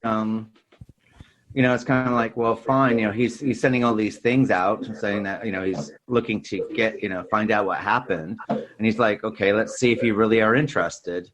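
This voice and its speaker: Man, low voice, podcast co-host, casual discussion, rambling explanations. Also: steady tone, normal speed, noisy background.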